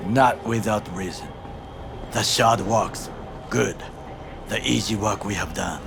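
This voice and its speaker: An actor playing a stern, disciplined older bodyguard in a video game, speaking formally and precisely with a Japanese accent. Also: male voice, on the low side, takes it slowly, vocal fry, noisy background. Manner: formal, clipped, uncontracted phrasing. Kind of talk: video game cutscene acting.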